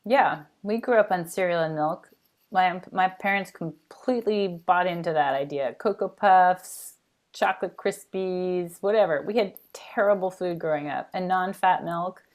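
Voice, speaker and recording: A woman guest on a podcast, telling stories in an animated, quick way. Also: fairly low pitch. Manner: animated, quick, laughs while recalling. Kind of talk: casual interview chat.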